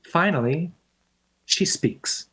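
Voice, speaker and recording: A man's voice: a little high, lively intonation, slow, sharp tone, husky. A man reading as a radio drama announcer and narrator in a scripted style. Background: plucked guitar music.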